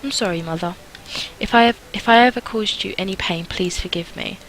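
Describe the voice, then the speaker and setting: A woman voicing a timid, earnest young flower girl in a visual novel, soft and hesitant. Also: mid-range voice, brisk, slight background noise, natural voice, low-quality recording. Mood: meek pleading.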